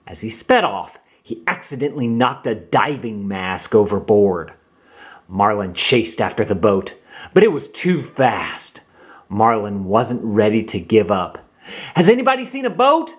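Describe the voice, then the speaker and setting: A man reading a children's storybook aloud in an expressive storytelling manner. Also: lowish voice, unhurried. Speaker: storybook reader. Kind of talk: children's read-aloud narration. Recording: roomy echo.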